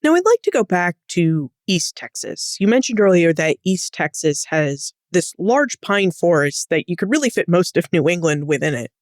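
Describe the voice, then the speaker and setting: A woman hosting a podcast interview, putting prepared questions to a guest in an articulate, measured way. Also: lowish voice, very animated, no room sound, clean studio sound.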